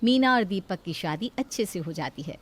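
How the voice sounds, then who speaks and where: A woman's voice: on the low side, animated, moderate pace, slightly rough. A woman narrator reading a scripted moral story.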